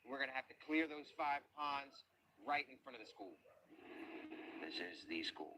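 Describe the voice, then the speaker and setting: A man working as an underwater search diver, narrating the search step by step outdoors by ponds and calmly reporting depths and findings. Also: medium pitch, moderate pace, background noise.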